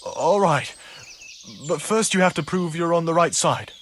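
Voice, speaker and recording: An actor playing a guarded, evasive medieval charcoal burner in a video game, speaking in a wary, hedging way. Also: male voice, fairly high pitch, animated, a little slow, a bit bright, slight background noise. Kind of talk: video game cutscene dialogue.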